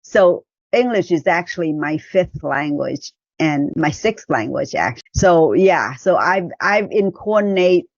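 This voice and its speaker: A woman's voice: low-pitched, expressive, unhurried, few pauses, dry recording, dull audio. A middle-aged woman from Vietnam with a Chinese-Vietnamese accent, a podcast guest telling her story in a talkative, self-correcting way. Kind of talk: personal storytelling in an interview.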